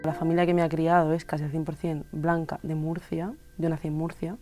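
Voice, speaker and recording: A woman in her twenties from Spain, an illustrator and musician, talking thoughtfully in an interview with many pauses and fillers. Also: low-pitched, flat delivery, music in the background. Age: 20s. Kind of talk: reflective interview monologue.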